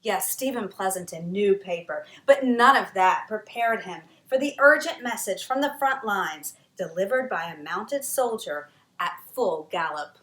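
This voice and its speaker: A woman author reading her own children's history book aloud in a dramatic, expressive storytelling manner. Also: medium pitch, reverberant, rough recording. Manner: dramatic, varied storytelling delivery with emphatic pauses.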